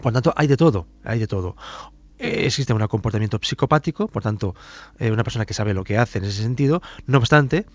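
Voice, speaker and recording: A man's voice: lowish voice, lively intonation, a little fast, no room sound. A man giving analytical expert commentary, formal and hesitant, often repeating and circling back over his phrases. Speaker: expert commentator on criminal psychology. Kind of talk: halting analytical interview commentary. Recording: dull, band-limited audio.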